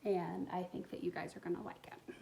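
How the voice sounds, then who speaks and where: A woman reading a picture book aloud to young children in an expressive way, pausing to explain things to them. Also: on the low side, vocal fry, slight background noise, low-quality recording. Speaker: storytime reader for a school community.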